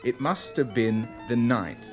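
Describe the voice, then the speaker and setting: Male, actor playing stubborn know-it-all store clerk, mid pitch, emphatic, 1980s radio play, music underneath. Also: animated, unhurried, natural voice. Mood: pedantic, firm. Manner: emphatic, pedantic. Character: pedantic, unyielding record shop clerk. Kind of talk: 1980s radio drama acting.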